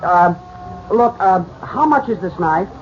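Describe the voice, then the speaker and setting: Eager American boy, high youthful pitch, good-natured, 1940s radio drama, clearly noisy old recording. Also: expressive, a little slow, highs cut off. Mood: excited.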